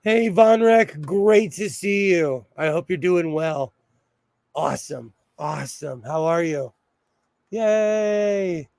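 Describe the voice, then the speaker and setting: A man hosting a casual sewing livestream, chatty and apologetic, laughing often, his voice a little hoarse. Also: a little high, expressive, takes it slowly, a little mellow, dry recording, clean studio sound. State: slightly hoarse, losing his voice.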